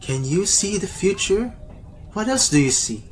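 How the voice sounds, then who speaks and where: A man's voice: sits high, sing-song, a little slow, a little hiss or hum. A man playing a loyal, questioning soldier companion in an amateur radio drama, with an earnest manner. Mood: wary, curious.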